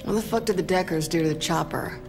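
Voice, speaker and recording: A woman's voice: lowish voice, fast talker, natural voice. A woman playing a tough, impatient gang boss in a video game, blunt and casual in manner. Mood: brisk, sardonic. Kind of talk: video game cutscene acting. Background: background music.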